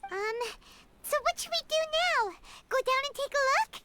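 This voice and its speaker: Very high squeaky little companion creature. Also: female voice, expressive, normal speed, heavy background noise. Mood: curious, uneasy. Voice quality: squeaky, childlike. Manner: refers to self by name in third person. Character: small childlike floating companion, excitable and timid. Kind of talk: English game voice acting.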